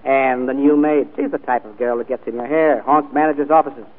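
A man's voice: medium pitch, steady tone, a little fast, lightly acted. Man acting a cheeky manservant, tinny 1940s radio. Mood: sly, needling. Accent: American. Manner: mock-deferential, chuckling, slangy. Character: sly, cheeky manservant to a wealthy man, scheming brother. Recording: tinny, band-limited 1940s radio broadcast.

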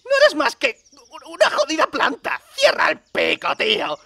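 Screechy, frantic little electric monster. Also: male voice, very high-pitched, wildly swooping pitch, moderate pace, gravelly, pushed and bright, heavily acted. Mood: angry ranting. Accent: Castilian Spanish. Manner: swearing, ranting. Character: frantic, foul-mouthed, aggrieved male Pikachu, small electric monster. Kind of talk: comedic cartoon parody dub. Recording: music under the voice.

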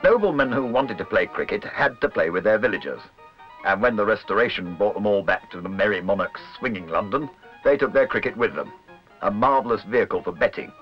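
Deep-toned male documentary narrator, muffled soundtrack. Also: expressive, a little fast. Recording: muffled old film soundtrack with music. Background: music.

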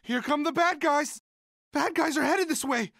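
A man voicing a sweet, timid young monster student in an animated video game, speaking in a hesitant, polite, childlike and earnest way. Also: very high voice, normal speed, creaky, no echo, studio quality, full character voice. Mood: startled, alarmed. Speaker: video game character voice actor.

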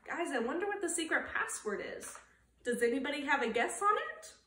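A woman's voice: high voice, sing-song, a little fast, pushed and bright, slight background noise. A young woman narrating a children's picture book as a preschool storytime reader, with asides to the listeners. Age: young adult. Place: living room, indoors. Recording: roomy sound from camera distance.

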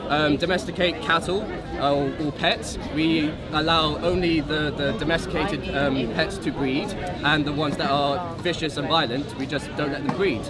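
Man, somewhat high voice, halting speech, outdoor debate, loud crowd noise. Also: steady tone. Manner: halting, hesitant, many fillers and restarts. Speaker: street debater.

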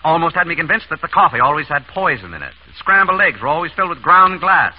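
A man's voice: on the high side, fast talker. An actor in a 1930s radio comedy-mystery playing an urbane, dryly witty doctor exasperated by his ex-wife. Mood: fond exasperation. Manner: urbane, wry, deadpan. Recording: dull, noisy 1930s radio recording.

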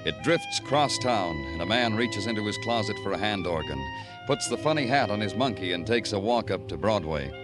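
A man acting a world-weary New York police detective, narrating poetically and deliberately in the style of 1950s radio noir. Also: fairly low pitch, lively intonation, music in the background, lightly acted. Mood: wry, lyrical. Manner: dramatic, poetic, deliberate delivery. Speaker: radio drama lead narrator. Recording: old radio broadcast.

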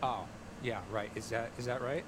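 A man's voice: medium pitch, fairly even intonation, moderate pace, natural voice. An actor playing a sarcastic, exasperated young male camp counselor in a video game. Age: young adult. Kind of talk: cinematic video game dialogue. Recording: game audio with ambient noise.

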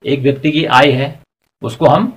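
A man's voice: medium pitch, steady tone, measured pace. A man in his forties teaching at a chalkboard, explaining step by step and posing rhetorical questions to the class. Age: 40s. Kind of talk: blackboard lecture. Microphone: lapel mic.

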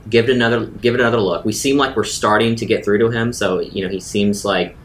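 Low-voiced man playing a tabletop game. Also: fairly even intonation, fast talker, fairly bright tone. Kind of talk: in-character improvised roleplay and narration. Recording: faint background noise and rumble.

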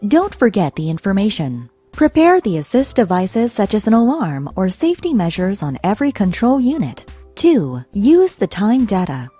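A woman narrates a scripted lesson in a mellow, husky voice. Her intonation moves around in a lively way, with light music behind her. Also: medium pitch.

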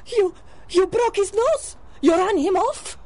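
An actress playing a fretful, excitable older mother in a 1930s-style radio drama. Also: extremely high pitch, slow, full character voice. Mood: flustered. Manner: fretful, exclaiming.

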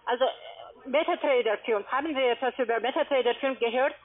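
A woman with a foreign, non-native German accent giving a halting telephone sales pitch, repeating and rephrasing as she explains. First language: not German. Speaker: call-centre sales agent pitching trading. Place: phone call. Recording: tinny, narrow-band phone line.

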